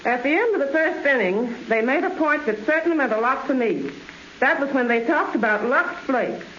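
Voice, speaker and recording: A woman's voice: high voice, big pitch swings, moderate pace, fairly bright tone. A woman on a radio broadcast from her home, reading lines in a teasing, playful way. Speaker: guest's wife. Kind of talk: scripted remote radio chat. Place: home trophy room. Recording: echoey, dull remote radio line, hiss.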